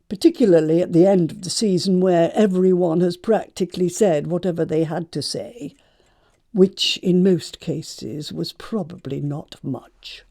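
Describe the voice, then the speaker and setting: Woman playing an imperious aristocratic English aunt, creaky voice. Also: fairly low pitch, dark tone. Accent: English upper-class. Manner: pompous, deliberate, pronouncing judgements. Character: imperious older aristocratic aunt and mother, snobbish, moralising. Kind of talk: stage comedy audiobook acting.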